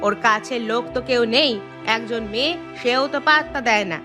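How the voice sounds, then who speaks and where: A woman playing a gossipy, self-serving village neighbour in an animated moral story, with a pushy, theatrical, chatty manner. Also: fairly high pitch, brisk, music in the background, lightly acted.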